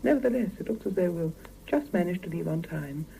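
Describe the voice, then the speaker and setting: An actress playing a wistful, guarded young Soviet wife in a 1970s radio drama, speaking. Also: female voice, lowish voice, animated, a little fast, creaky, dark tone, poor audio. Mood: wistful, reflective. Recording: hissy 1970s mono radio cassette.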